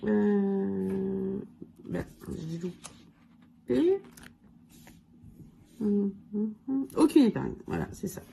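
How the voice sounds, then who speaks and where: A woman with a cold and a hoarse voice, casually going through her budget challenges and counting money aloud with lots of fillers. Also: mid-range voice, drawn-out words, long pauses, warm tone, a little hiss or hum. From France. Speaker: budget vlogger. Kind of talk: casual budget review talk.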